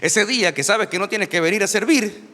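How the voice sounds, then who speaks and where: A middle-aged man preaching a lively sermon to a church, emphatic and repetitive, with touches of humor. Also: high-pitched, a little fast, big-room sound. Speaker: pastor.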